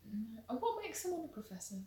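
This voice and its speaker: A woman's voice: sits high, sing-song, quick pace, warm tone. A woman chatting casually, picked up away from the mic. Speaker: off-camera companion. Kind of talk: casual chat. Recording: roomy, noisy, away from the mic.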